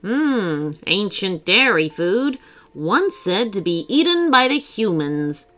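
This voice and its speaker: Woman as a slow, obliging talking vending machine. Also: medium pitch, very animated, fairly bright tone. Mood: pondering. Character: obliging talking vending machine, matter-of-fact futuristic servant.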